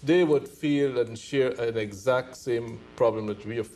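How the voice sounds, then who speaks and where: A man's voice: medium pitch, normal speed, a little mellow, faint music behind. A man from Guyana, speaking firmly as a guest on a discussion panel.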